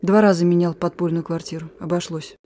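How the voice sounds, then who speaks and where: A woman's voice: fairly low pitch, flat delivery, a little hiss or hum, lightly acted. An actress in a radio play voicing a bold, teasing young woman who is a wartime partisan messenger. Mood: frank, teasing. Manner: playful, teasing, brisk, sometimes singing softly.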